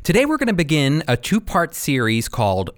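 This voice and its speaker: A man hosting a radio program and teaching from the Bible in an earnest, deliberate, emphatic way. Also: fairly high pitch, huge pitch swings, faint music behind.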